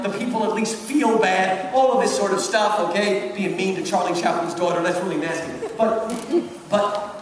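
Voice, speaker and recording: Male lecturer, emphatic and high-voiced, recorded from far off in a very echoey hall. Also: a little hiss or hum. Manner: emphatic, talkative, punctuates points with questions. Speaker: lecturer giving commentary on a literary work. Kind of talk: animated public lecture with quoted readings. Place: large hall at a public event.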